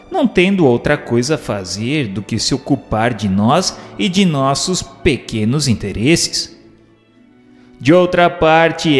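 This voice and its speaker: A man's voice: a little high, long reverb tail. A man narrating scripted book commentary in a formal, didactic manner. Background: faint music.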